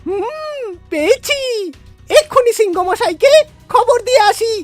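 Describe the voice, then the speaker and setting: Sly, scheming cartoon fox, very high and creaky, exaggerated, light music underneath. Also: female voice, expressive, a little slow. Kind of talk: cartoon voice acting. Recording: faint background music.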